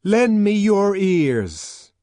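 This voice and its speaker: A man reading an audiobook, voicing an earnest young man who pleads in a loud ringing voice. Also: high-pitched, very animated, drawn-out words, dry recording, voice pushed up, exaggerated voice. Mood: pleading.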